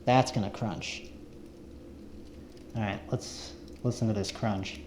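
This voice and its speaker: A man's voice: lowish voice, fairly even intonation, slow pace. A man talking casually and unhurriedly, as if narrating his own camping and cooking vlog. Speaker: found-footage vlogger, narrator. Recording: roomy echo, faint background noise.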